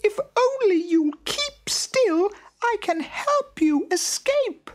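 Tiny squeaky storybook mouse. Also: male voice, very animated, slow, exaggerated voice. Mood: eager, pleading. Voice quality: squeaky, thin. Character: tiny eager squeaking male mouse helper. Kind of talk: children's storybook reading.

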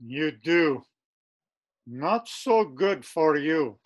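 A man's voice: fairly high pitch, lively intonation, drawn-out words. A man playing a blunt, menacing henchman in a period radio mystery. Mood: blunt menace.

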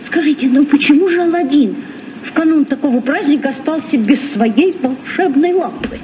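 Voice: high-pitched, expressive, normal speed, darkish tone. An actor playing an eager, polite youngster who asks curious questions in a vintage radio play. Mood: curious, wondering. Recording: muffled, noisy, distant old radio.

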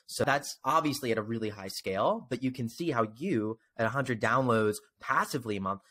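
A man's voice: medium pitch, expressive, fairly quick, sharp tone. A man in his twenties hosting a casual interview, explaining things with enthusiasm into a close desk microphone. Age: 20s. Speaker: podcast host. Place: indoor home room. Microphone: close desk microphone on a video call.

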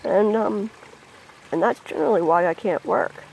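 A person talking casually outdoors by a backyard pond into a handheld phone, rambling and hesitant with many pauses and ums. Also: mid-range voice, gravelly, a little mellow, a little hiss or hum, low-quality recording. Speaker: vlogger. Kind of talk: casual spoken vlog. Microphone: phone held by the speaker.

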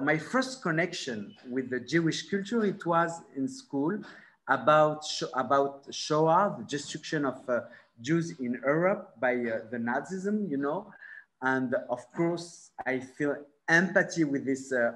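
A man in his forties from France with a French accent, speaking on a video call and often pausing for the right word. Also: mid-range voice, lively intonation, a bit bright, poor audio. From born in Morocco, raised in France. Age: 40s. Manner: halting, searching for words, many fillers; gestures with his hands while talking. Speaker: film director. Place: bright room at home. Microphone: laptop mic on a video call.

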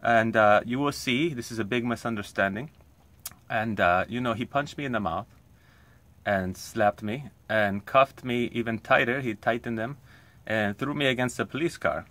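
A man tells a comic story. His voice is bright and forceful. He talks in an earnest, rambling way, with many pauses. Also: medium pitch. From Poland. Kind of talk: comic monologue.

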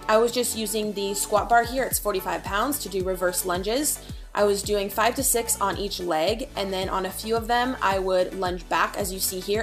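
A young woman talks through her day in a bright, chatty vlog voice, peppered with casual fillers and easy enthusiasm. Her pitch sits right in the middle. Soft music plays faintly underneath. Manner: chatty, upbeat, lots of "like" and "you guys". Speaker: lifestyle vlogger. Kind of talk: casual try-on and workout vlog narration.

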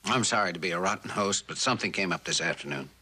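An actor playing a driven, weary, idealistic scientist in a mid-century television drama, terse and authoritative. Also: male voice, low voice, fast talker, bright, forceful tone, husky, heavily acted. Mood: strained, apologetic.

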